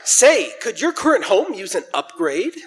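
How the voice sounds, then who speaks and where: A man's voice: high voice, very animated, unhurried, over a noisy crowd, heavy background noise. A man hosting a live radio show, announcing in a showy, jocular old-time style in a church hall. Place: large church, live stage performance.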